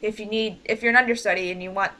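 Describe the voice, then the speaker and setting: A woman leading an online meeting as producer, talking casually in a halting, rambling, informal way. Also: mid-range voice, steady tone, sharp tone, low-quality recording. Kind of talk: casual group meeting talk. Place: online group voice call.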